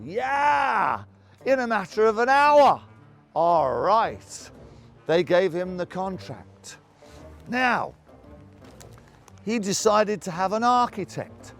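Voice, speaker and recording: Theatrical, high-pitched man telling history tales. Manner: jovial, hammy, exclamatory, with rhetorical questions and dramatic pauses. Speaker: town crier storyteller and guide. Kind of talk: theatrical historical storytelling to camera.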